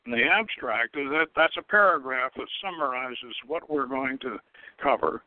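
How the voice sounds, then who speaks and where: A man's voice: mid-range voice, animated, no room sound. Older male talk-show host, phone-quality sound, rambling and hesitant, word repetitions, solo unscripted delivery. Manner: halting, rambling, repeats words and stalls often. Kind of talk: unscripted solo talk, recapping a story and announcing next episode. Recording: thin phone-line audio.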